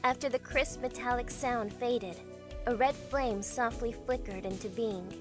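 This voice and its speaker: A woman's voice: a little high, measured pace, music in the background, natural voice. A woman playing a bewildered young heroine, narrating her own story in an amateur visual novel dub. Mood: introspective. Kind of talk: first-person visual novel narration, fandub.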